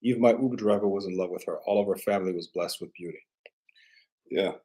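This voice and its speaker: A man chatting casually as a livestream host, halting and offhand, often repeating his phrases. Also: deep voice, dark tone. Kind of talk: casual rambling livestream chat.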